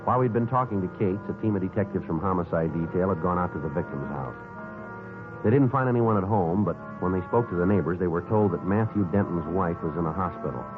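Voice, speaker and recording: A man playing a terse, methodical police detective in a 1950s radio drama, clipped and deadpan as he questions and narrates. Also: deep voice, quick pace, phone-quality sound, over music, close to an everyday voice. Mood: dry, factual. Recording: thin 1950s radio recording.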